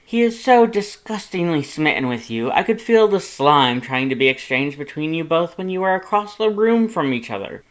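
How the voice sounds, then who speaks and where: A man's voice: on the high side, very animated, normal speed, few pauses, pitched above the performer's own voice. A man voicing a pompous, dramatic male skeleton with a grandiose, formal, over-enunciated way of talking. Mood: offended, dramatic.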